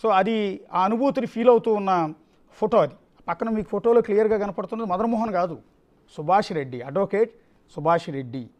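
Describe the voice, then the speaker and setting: A man's voice: sits high, brisk, muffled recording. A man anchoring the news, giving emphatic unscripted commentary and repeating phrases for effect. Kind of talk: live news commentary, extempore.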